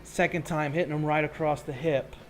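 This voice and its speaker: A man with a slightly high voice runs a tabletop roleplaying game as game master. He describes combat in vivid, gory detail, then drops into plain rules talk. Faint music plays along with his voice. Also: steady tone, a little fast.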